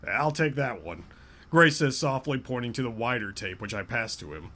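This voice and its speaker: A man doing a mocking, deadpan reading of a romance novel, often breaking off into laughter and asides. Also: mid-range voice, moderate pace. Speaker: podcast co-host reading a novel aloud.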